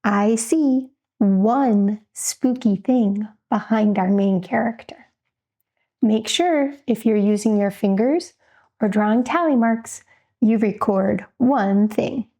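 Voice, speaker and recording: A woman's voice: on the high side, slow pace, warm tone. A woman teacher guiding young listeners through an instructional read-aloud, posing questions to them as she goes.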